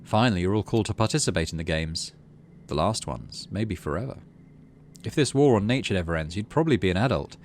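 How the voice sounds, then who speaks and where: A man's voice: low voice, animated, quick pace, a little hiss or hum. A man hosting a gaming video, reading story text aloud and adding casual commentary as he plays.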